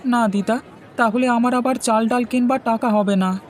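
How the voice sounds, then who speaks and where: A woman's voice: on the high side, steady tone, normal speed, a faint crowd, a little hiss or hum, natural voice. A woman voicing a meek, hardworking young brother in a cartoon, speaking politely and submissively. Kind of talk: cartoon dubbing.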